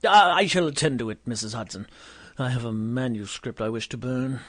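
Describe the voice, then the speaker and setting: An actor playing an admiring Victorian gentleman doctor in an audio drama, polite, formal and earnest. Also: mid-range voice, fairly quick, dry recording, clean studio sound, close to an everyday voice.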